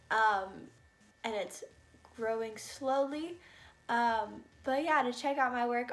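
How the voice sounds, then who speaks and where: A bubbly, chatty young woman in her twenties with an American accent, talking casually to camera on her vlog. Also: on the high side. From United States. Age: young adult. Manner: bubbly, chatty, laughs often. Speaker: vlogger.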